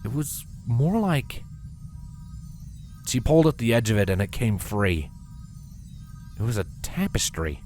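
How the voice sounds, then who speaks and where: A man narrating a scripted story with a dramatic, expressive delivery. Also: medium pitch, measured pace, pauses often, faint music behind.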